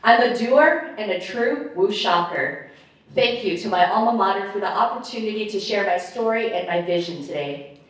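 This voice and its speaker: A woman in her late thirties from Guatemala, giving a measured, rehearsed campaign speech at a forum. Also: medium pitch, animated. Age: late 30s. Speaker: mayoral candidate. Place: large echoey hall with audience. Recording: echoey hall, speaker far from mic.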